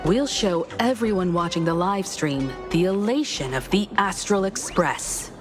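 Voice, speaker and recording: A woman voicing a calm, determined young heroine in a video game, with a steady and resolute manner. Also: lowish voice, measured pace. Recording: game audio with music underneath.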